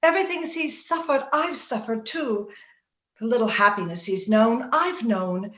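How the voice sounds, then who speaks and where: A woman playing a proud, willful young gentlewoman torn by romance, theatrical and emotional in old-time radio drama style. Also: a little high, lively intonation, measured pace. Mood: wistful, torn. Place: indoor room, recorded remotely over video call. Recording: roomy, dull video-call recording.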